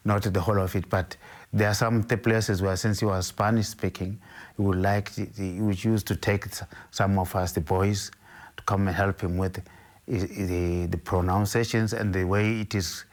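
A middle-aged man from Zimbabwe whose first language is Ndebele, a bishop answering interview questions in a halting way with many restarts. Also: low voice, flat delivery.

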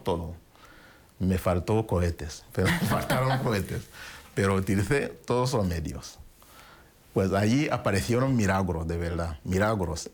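A man with a very low, deep voice tells his life story in an interview. He speaks in a reflective, unhurried way, pausing and restarting as he searches for words. Spanish is not his tongue. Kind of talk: personal testimony in an interview.

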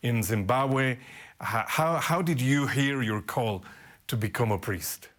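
A man's voice: medium pitch, unhurried, a bit bright. A man interviewing a bishop, asking polite questions with hesitant repeated words.

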